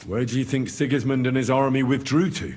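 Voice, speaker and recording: An actor playing an earnest, cautious young medieval warrior in a video game, with a husky, questioning delivery. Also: male voice, medium pitch, fairly quick, a little mellow, close to an everyday voice. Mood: wary. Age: young adult. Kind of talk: dramatic video game cutscene acting.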